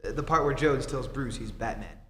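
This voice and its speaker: A man's voice: mid-range voice, brisk, lightly acted. A man playing an earnest, decisive male reporter in an old-time radio serial, urgent and emphatic, on a theatre stage. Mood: intent. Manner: urgent, emphatic, takes charge. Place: theatre stage in a live performance. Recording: echoey hall, hum and clear noise.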